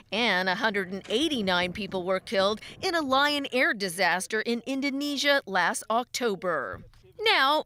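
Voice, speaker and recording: A woman's voice: mid-range voice, pushed and bright. A woman reading scripted broadcast news as an anchor.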